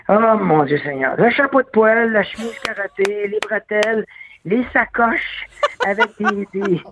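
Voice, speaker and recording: A man's voice: sits high, a bit bright. A man with a Québécois French accent, chatting as an interview guest, hesitant with many fillers and restarts. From Quebec. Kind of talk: casual interview chat. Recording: dry tinny phone line.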